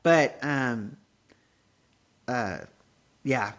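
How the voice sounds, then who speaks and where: A woman's voice: extremely low pitch, extremely slow. A woman in her late fifties, a podcast host in casual conversation, chatty and goofy, often laughing at herself. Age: 50s.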